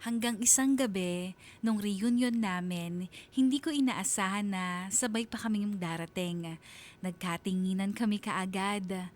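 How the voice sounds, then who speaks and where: A woman's voice: unhurried, close to an everyday voice. An actress with a Filipino accent playing a wistful woman of thirty confiding her love story as radio drama narration. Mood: reflective. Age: 30s. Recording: faint rumbling background noise.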